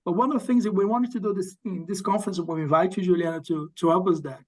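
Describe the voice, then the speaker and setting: A man, one of the journal's editors, moderating an online workshop, with quick thanks and hand-offs to each speaker. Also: high voice, fairly even intonation, darkish tone.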